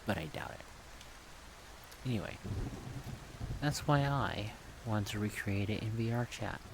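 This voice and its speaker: A man rambling in a soft-spoken ASMR style, unscripted, thinking aloud in halting short phrases. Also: on the low side, animated, creaky, noisy background. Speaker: ASMR video creator.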